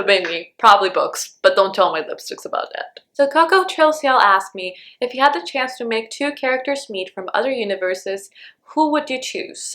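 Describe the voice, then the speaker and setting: A woman's voice: mid-range voice, fairly bright tone. A young woman from Honduras, a native Spanish speaker, answering viewer questions in a casual book vlog, chatty with lots of "like" and "um". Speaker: book vlogger.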